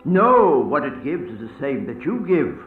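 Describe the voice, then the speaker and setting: A man's voice: mid-range voice, sing-song, faint music behind. Man playing a mocking ancient vampire, wildly swooping voice. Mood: scornful. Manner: slow, theatrical, taunting. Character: ancient male vampire, menacing, mocking tempter of a priest. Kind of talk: radio horror play acting. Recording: tinny band-limited audio, distant, echoey.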